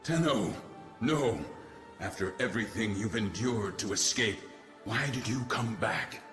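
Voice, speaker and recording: A man playing a grave, weary warrior mentor in a video game, solemn and gravelly. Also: lowish voice, a bit bright. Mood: grave sorrow. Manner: solemn, deliberate. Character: grave, weary warrior mentor secretly defying his queens. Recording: echoey, music behind the voice.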